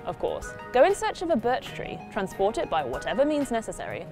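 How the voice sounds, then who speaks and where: A woman's voice: a little high, wildly swooping pitch, fairly quick. Woman host, 30s, wry scripted delivery, voice swoops up and down a lot, music playing under her. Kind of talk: scripted presenting.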